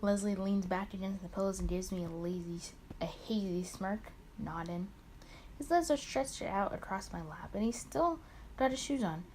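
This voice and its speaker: A woman's voice: mid-range voice, normal speed, a little mellow, a little hiss or hum, natural voice. A woman voicing a wry, caring male detective who narrates a visual novel, with a dry story-reading delivery.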